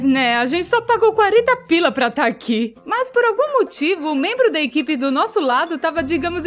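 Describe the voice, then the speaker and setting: High, animated Brazilian woman storyteller. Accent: Brazilian Portuguese. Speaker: storytelling narrator in a dubbed animation. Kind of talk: animated scripted storytelling narration.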